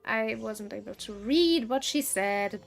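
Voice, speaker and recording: Slow-paced, chatty woman streaming a game. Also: a little high, animated, vocal fry, soft background music. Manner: chatty, thinks aloud in halting bursts. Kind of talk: casual gameplay commentary.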